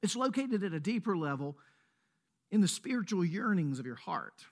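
A man with a Mississippi accent, a pastor leading a prayer and then preaching a sermon in a measured, earnest way. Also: high voice, big pitch swings. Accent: Mississippi Southern. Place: church sanctuary.

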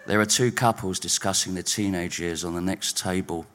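A man reading his overheard-conversation stories at a live spoken-word night in a pub, wry and deadpan. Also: deep voice, moderate pace. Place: pub venue stage.

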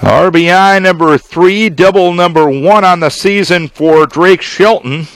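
A man with a slightly high-pitched voice delivers live baseball play-by-play in a dry, close sound. He works as a radio announcer, using clipped, measured phrases and deliberate pauses between them. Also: fairly bright tone, dry recording. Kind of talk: live sports play-by-play commentary.

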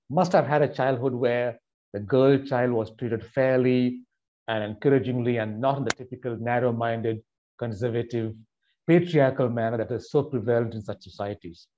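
A man hosting a finance interview podcast, introducing his guest in a measured way with frequent fillers. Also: mid-range voice, dark tone, muffled recording. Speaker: podcast host.

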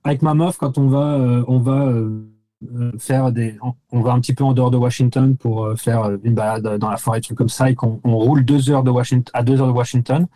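A man's voice: mid-range voice, fairly even intonation, fairly quick, dark tone, low-quality recording. A man chatting casually as a guest, telling anecdotes in slangy, informal language and often searching for words. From Nancy, France. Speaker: podcast guest, expatriate living in the United States.

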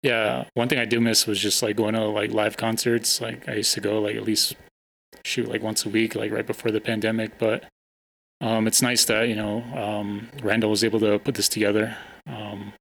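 A man interviewing a band in a casual, hesitant way, with many pauses and fillers. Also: fairly low pitch, flat delivery, gravelly, fairly bright tone, poor audio. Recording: faint ambient noise.

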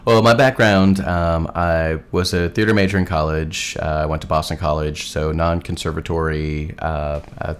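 A man in casual podcast chat, relaxed and halting with many fillers. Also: a bass voice, soft background music. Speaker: podcast guest host.

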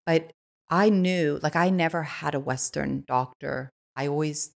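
A woman guest on a podcast, chatting in a casual, eager way and filling her sentences with "like." Her voice sits low, with a gravelly fry running through her speech. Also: unhurried. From Switzerland. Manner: enthusiastic, lots of "like" fillers. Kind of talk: casual conversational storytelling.

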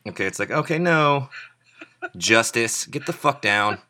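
Bright-voiced joking man hosting a show. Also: medium pitch, lively intonation, a little slow. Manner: loud, joking, mock-showman delivery. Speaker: podcast host. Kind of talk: comedic casual chat.

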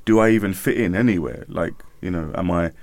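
A man's voice: sits low, a little fast, a little mellow, dry recording. A man co-hosting a radio discussion, explaining his points earnestly with plenty of "you know" and "um". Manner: earnest, explanatory, many fillers. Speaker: radio show co-host. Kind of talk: casual panel discussion.

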